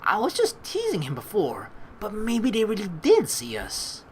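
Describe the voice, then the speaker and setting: A woman's voice: medium pitch, huge pitch swings, measured pace, darkish tone, slight background noise. A young woman hosting a let's play, teasing and slangy as she mixes casual commentary with reading the game's narration aloud. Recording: faint game music behind the voice.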